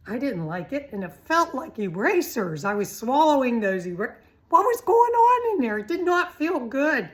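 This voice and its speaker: Animated, high-voiced woman talking health. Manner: animated, blunt, teasing, fires rhetorical questions at the listener. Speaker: health vlogger. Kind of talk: informal, chatty health talk to camera.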